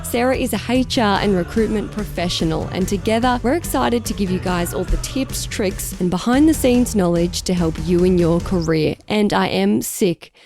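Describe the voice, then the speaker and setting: A woman co-hosting a podcast, her voice hoarse and croaky from a sore throat, talking in a chatty, self-deprecating way. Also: mid-range voice, normal speed, hardly any pauses, a little mellow, soft background music. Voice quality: croaky, raspy. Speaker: podcast co-host, radio journalist. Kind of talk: casual podcast chat. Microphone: studio mic with headphones.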